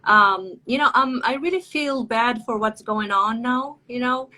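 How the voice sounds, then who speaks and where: A woman's voice: fairly high pitch, unhurried, sharp tone. A woman hosting a casual live video chat, speaking in a gushing, effusive way. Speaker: talk host.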